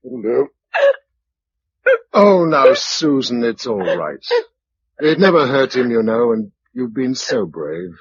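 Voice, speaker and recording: A steady kind english survivor in a radio play, lively pitch, gentle, lo-fi with noise behind. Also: male voice, slow pace, long pauses. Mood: gentle, reassuring. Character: kindly, steady male survivor narrating his journey. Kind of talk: radio drama narration and dialogue. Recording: dull radio recording, clear background noise.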